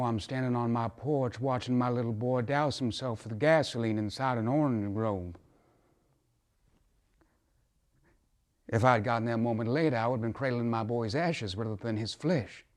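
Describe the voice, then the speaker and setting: A man acting a troubled, folksy father who pleads earnestly in a theatrical dramatic monologue, halting at times and impassioned at others. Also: mid-range voice, darkish tone, natural voice. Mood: somber, pleading.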